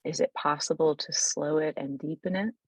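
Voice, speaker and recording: A woman leading an unscripted guided meditation in a soothing, unhurried, informal way, pausing mid-thought as she goes. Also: deep voice, steady tone, breathy edge, no echo, poor audio.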